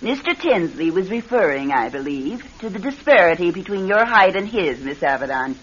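Prim, husky, formal colleague. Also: female voice, lowish voice, sing-song, moderate pace. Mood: prim, polite. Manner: precise, formal. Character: prim, proper female corporate researcher, politely formal. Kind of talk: old-time radio drama acting. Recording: muffled, narrow vintage radio recording.

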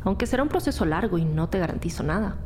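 A woman's voice: lowish voice, expressive, quick pace, noisy background, natural voice. A woman with a Latin American Spanish accent playing a commanding, level-headed senior police detective in an audio drama. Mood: businesslike. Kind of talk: scripted crime audio drama dialogue.

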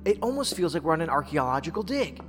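A man's voice: fairly high pitch, big pitch swings, fast talker. A man playing a sardonic, wordy, philosophical federal investigator in a science fiction audio drama. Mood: dry, musing. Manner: wry, wordy, lecturing. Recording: long hall reverb, music underneath.

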